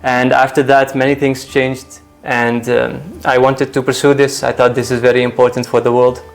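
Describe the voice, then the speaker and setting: A young man, a guest being interviewed, who explains his views in a measured, reasoned way and often asks rhetorical questions. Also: mid-range voice, little pitch movement, creaky, faint music behind. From Iraq, raised in the Netherlands. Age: young adult. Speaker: guest, full-time volunteer. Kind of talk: calm explanatory interview talk.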